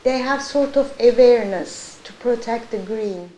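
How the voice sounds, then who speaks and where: A woman's voice: a little high, measured pace, warm tone. A woman with a Turkish accent giving an interview as a local resident. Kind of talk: interview talk. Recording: echoey room with faint hiss.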